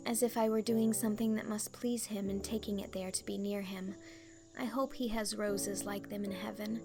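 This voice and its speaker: A woman's voice: mid-range voice, lightly acted. A woman voicing a tender, grieving teenage girl in a storybook reading, earnest and wistful. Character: grieving, tender, dreamy orphan girl in her teens.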